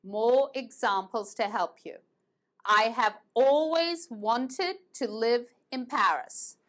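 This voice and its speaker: Slow, patient woman teaching grammar. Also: on the high side, fairly bright tone. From United States and England. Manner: slow, patient, repeats verb forms. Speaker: English teacher. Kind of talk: online grammar lesson.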